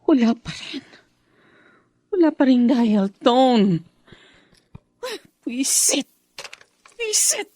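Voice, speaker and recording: A woman's voice: sits high, sing-song, extremely slow, pauses often, mellow, no echo, full character voice. An actress playing a young woman held captive who pleads and schemes to escape, in a radio drama. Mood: frustrated. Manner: pleading and agitated.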